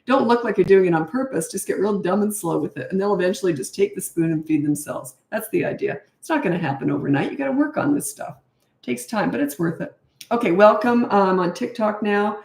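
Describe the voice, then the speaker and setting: A middle-aged woman talking straight to her livestream audience, handing out blunt, practical parenting advice with chatty asides. Her voice is slightly hoarse from talking so much, and her mid-range tone bounces around an echoey room. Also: medium pitch, expressive, brisk, a little mellow. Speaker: parenting coach. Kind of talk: casual livestream Q&A.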